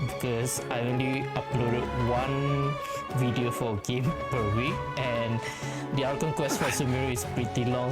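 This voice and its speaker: A man doing casual gameplay commentary, rambling and halting as he thinks aloud. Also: mid-range voice. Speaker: gaming video host. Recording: game music behind the voice. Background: video game music.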